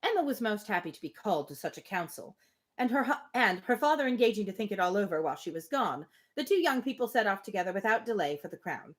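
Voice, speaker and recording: A woman's voice: medium pitch, expressive, fast talker. A woman reading a classic novel aloud expressively, breaking off for casual, chatty asides. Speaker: live book reader.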